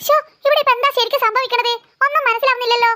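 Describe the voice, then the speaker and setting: A woman's voice: very high-pitched, fairly even intonation, very fast, pushed and bright. A woman voicing a fussy, concerned mother in a children's cartoon, coaxing and nagging. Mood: exasperated.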